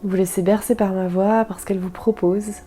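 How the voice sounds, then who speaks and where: A woman guiding a yoga nidra meditation in a soft, calm, soothing way, leaving gentle pauses. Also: mid-range voice, faint music behind. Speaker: guided meditation instructor.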